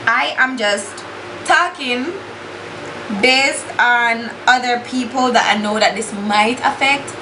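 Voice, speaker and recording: Young Jamaican woman vlogger, blunt and exasperated, higher voice, echoey room with steady hiss. Casual makeup chat. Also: takes it slowly. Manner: blunt and exasperated, swears freely, slips into patois. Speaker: beauty YouTuber.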